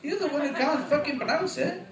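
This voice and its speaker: A man with a Welsh accent performing a stand-up comedy set, getting animated at punchlines. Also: brisk, far from the mic, noisy background. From Wales. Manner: animated, voice jumps up in pitch at punchlines. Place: comedy club stage. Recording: echoey room, voice from afar.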